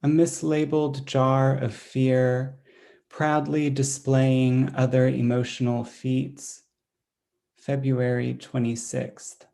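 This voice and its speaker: A man reading another poet's diary-like poem aloud, slow and deliberate, closing each entry with a date. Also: mid-range voice, gravelly. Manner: deliberate, dated entries read flatly.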